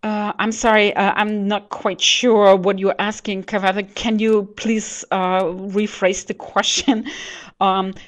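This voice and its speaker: A woman's voice: medium pitch, flat delivery, takes it slowly. A middle-aged non-native English-speaking woman answering webinar questions as an adviser, hesitant and searching for words, on a headset mic. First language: not English. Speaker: education adviser, webinar presenter. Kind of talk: online presentation answering audience questions. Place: indoors in an office, on a webcam. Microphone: headset microphone.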